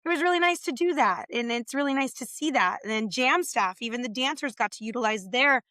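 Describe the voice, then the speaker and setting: A woman with a slightly raspy, overused voice, chatting enthusiastically on a podcast as co-host. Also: on the high side, expressive, a little fast, pushed and bright, dry recording, clean studio sound. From California. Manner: friendly, enthusiastic, rambling. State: losing her voice from overuse. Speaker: podcast co-host, rules director. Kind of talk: casual podcast chat.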